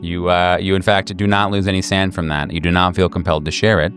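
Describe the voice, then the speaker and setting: Very low-voiced male game master. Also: level tone, quick pace, fairly bright tone, soft background music. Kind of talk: casual game refereeing.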